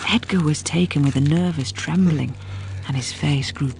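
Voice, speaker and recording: An actress playing a sensible housekeeper who narrates a gothic radio drama as measured storytelling. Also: female voice, deep voice, vocal fry, darkish tone. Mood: grave, urgent. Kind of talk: dramatised first-person narration.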